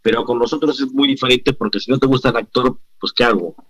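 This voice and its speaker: A man's voice: mid-range voice, steady tone, fast, fairly bright tone, breathy edge, dull audio. A man in his forties with a Mexican accent, a guest actor speaking earnestly over a video call and often restarting his sentences. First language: Spanish. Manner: earnest, reflective, many restarts. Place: indoor room.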